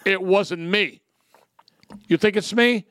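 A man co-hosting a podcast, telling crude comedic stories in a profane, animated way with frequent laughs. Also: high voice, slow. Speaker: podcast co-host. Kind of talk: crude comedic storytelling and banter.

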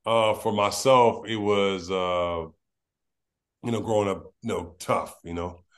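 A man from Los Angeles hosting a casual interview, talking in a laid-back, slangy way with a deep voice and ready laughter. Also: fairly even intonation, measured pace, fairly bright tone. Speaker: podcast host.

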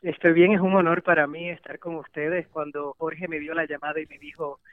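A woman in her forties, a sports journalist answering in an animated podcast interview, laughing easily. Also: sits low, a little fast, no echo. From Puerto Rico. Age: 40s. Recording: tinny phone line.

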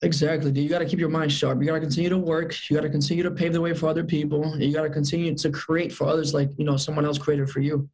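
A man's voice: a little high, little pitch movement, very fast, few pauses, darkish tone, rough recording. A man of thirty, a sales manager as guest, talking about his goals in an upbeat, emphatic way and repeating phrases for emphasis. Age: 30s. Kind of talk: casual interview chat.

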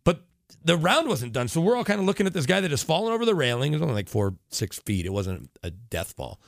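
A man's voice: a little high, big pitch swings, fast talker, creaky, no echo, clean studio sound. A middle-aged American man, a radio reporter guesting on a podcast, tells rambling anecdotes in casual, talkative chat.

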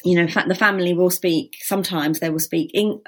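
Thoughtful woman novelist, lowish voice. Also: steady tone, fairly quick, slightly rough. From Britain. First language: Gujarati. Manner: thoughtful, with frequent restarts and "you know". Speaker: novelist guest. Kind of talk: conversational interview.

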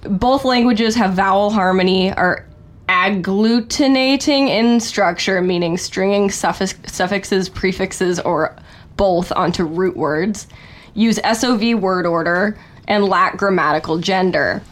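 A woman's voice: medium pitch, measured pace. A woman co-hosting a casual chat, reading passages aloud and then brushing them off with a dismissive aside. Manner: reads aloud then shrugs it off with a dismissive "whatever". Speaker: podcast co-host.

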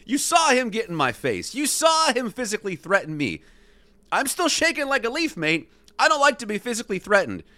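A man's voice: high voice, huge pitch swings, brisk, sharp tone, no room sound, voice pushed up, exaggerated voice. A man voicing a furious, indignant golfer in his sixties in a comic story reading, ranting and swearing with an aggrieved manner. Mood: shaken, aggrieved. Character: furious, indignant sixty-one-year-old male golfer. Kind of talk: dramatic comic quote reading.

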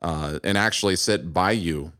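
A man with a fairly low voice, recorded very dry with no room sound. He talks casually as an interviewer, conversational in his questions and comments. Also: moderate pace, fairly bright tone, no echo.

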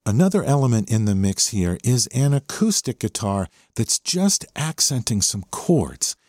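A man hosting a music podcast, talking in a conversational, measured and explanatory way about a song, close on the microphone. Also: medium pitch, very animated, darkish tone. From USA.

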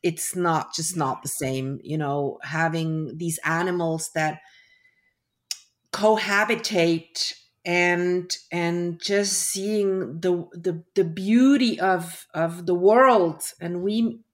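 A middle-aged woman with a lowish voice, unhurried, talking as an interview guest over a video call. She is reflective and restarts her sentences often, circling back before she lands a thought. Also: steady tone. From Switzerland. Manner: reflective, with frequent restarts and fillers. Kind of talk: casual interview conversation.

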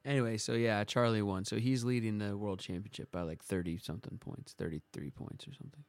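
A man's voice: lowish voice, fairly quick, no echo. A young man chatting casually on a podcast, rambling and laughing often. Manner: rambling, laughs often, does silly voices. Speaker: podcast co-host. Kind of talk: casual chat about motor racing.

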